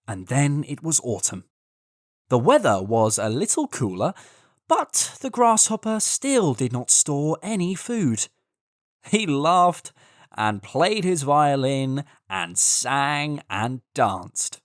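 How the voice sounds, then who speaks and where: A storyteller reads a children's tale at an unhurried, deliberate pace. The pitch swings expressively from phrase to phrase, and the sound is dry with no room around it. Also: dry recording. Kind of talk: scripted children's story narration.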